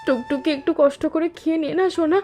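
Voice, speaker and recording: A woman playing an earnest, unsure daughter-in-law and mother in an animated story. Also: high-pitched, quick pace, faint music behind, lightly acted. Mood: apologetic, coaxing. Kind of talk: animated family story dialogue.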